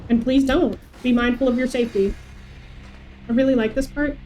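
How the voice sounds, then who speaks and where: A woman's voice: high voice, steady tone, normal speed, dark tone, rough recording. A woman game streamer, a non-native English speaker, chatting casually and commenting as she plays. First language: not English. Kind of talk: casual livestream commentary and chat. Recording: faint background noise.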